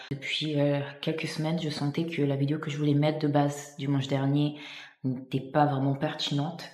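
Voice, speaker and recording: A woman's voice: low voice, darkish tone, roomy sound. A woman from Italy sharing her personal faith testimony in a vlog, earnest and hesitant, with many fillers and restarts.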